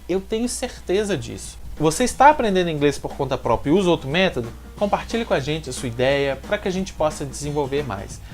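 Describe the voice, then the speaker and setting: Mineiro-accented male vlogger, energetic and lively in pitch, addresses the viewer directly over faint music. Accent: Mineiro Brazilian Portuguese. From Minas Gerais, Brazil. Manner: energetic, talks straight to the viewer. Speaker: YouTuber giving language-learning tips. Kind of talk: direct-to-camera advice vlog.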